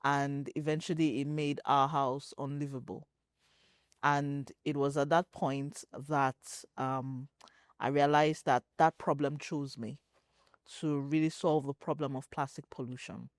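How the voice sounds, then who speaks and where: A woman from Nigeria, a startup co-founder answering interview questions in a measured, reflective way, pausing often with fillers. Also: sits low, flat delivery, no echo, studio quality. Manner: measured, thoughtful, pauses with fillers.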